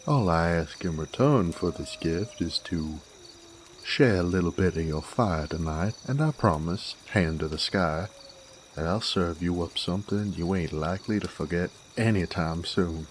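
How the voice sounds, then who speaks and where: A man playing a genial, smooth-talking cowboy drifter with an American Western drawl, courteous and wordy in an audio roleplay. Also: low voice, slight background noise. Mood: amiable, teasing. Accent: American Southern/Western drawl. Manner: folksy, courteous, wordy, unhurried. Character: smooth-talking male werewolf bounty hunter drifter, genial Western wanderer. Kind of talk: Western audio roleplay, acted monologue to listener.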